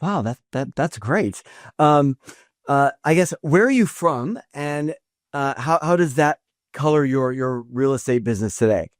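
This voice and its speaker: A man hosting a podcast interview in a studio, often halting and repeating words as he thinks. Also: mid-range voice, expressive, dry recording. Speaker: podcast host. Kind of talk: interview with a scripted intro.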